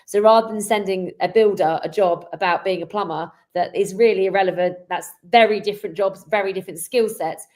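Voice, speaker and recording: A woman hosting a webinar in a conversational way, explaining things a little haltingly and repeating words. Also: mid-range voice, a little mellow. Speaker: webinar host.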